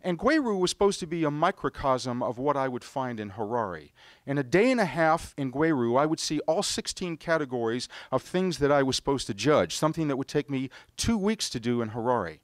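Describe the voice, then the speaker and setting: A middle-aged man with an American accent telling a rehearsed personal story on stage, with theatrical, deliberate phrasing. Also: mid-range voice, very animated, no echo, clean studio sound. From United States. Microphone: stage microphone on a stand.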